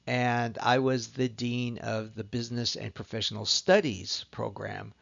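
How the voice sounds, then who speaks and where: A middle-aged man from Southern California, a course instructor introducing himself in a recorded lecture, measured and earnest with frequent pauses. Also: medium pitch, gravelly, fairly bright tone, lo-fi sound.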